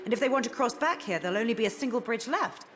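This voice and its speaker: An actress playing an anxious, dutiful young lieutenant in a fantasy game, earnest and a little hesitant. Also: female voice, a little high, lively intonation, fast talker, natural voice. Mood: alarmed. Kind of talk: scripted fantasy game voice acting. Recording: noisy game ambience behind the voice.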